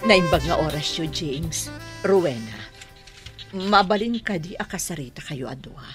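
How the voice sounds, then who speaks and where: A woman's voice: low voice, lively intonation, unhurried, over music. A woman playing an earnest, remorseful middle-aged woman making peace in a radio drama, with an Ilocano Filipino accent. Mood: remorseful, pleading.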